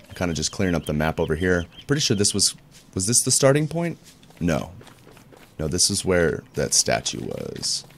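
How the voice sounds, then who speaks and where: Male gaming host, very low deep voice, easygoing rambling let's-play narration. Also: slight background noise. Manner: rambling, searches for words, laid-back. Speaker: gaming YouTuber. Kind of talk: casual let's-play commentary.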